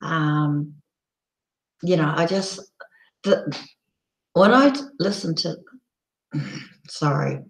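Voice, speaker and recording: A woman hosting a casual live broadcast, chatting loosely with many ums and restarts, her voice croaky and hoarse. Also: sits low, extremely slow, long pauses, roomy sound, rough recording. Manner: rambling, lots of ums and restarts. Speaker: live-stream host. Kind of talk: casual live-stream chat.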